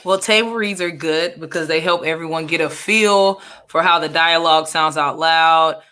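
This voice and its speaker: Young woman with a bright, lowish voice. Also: moderate pace. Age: young adult. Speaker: podcast co-host. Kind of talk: casual chat.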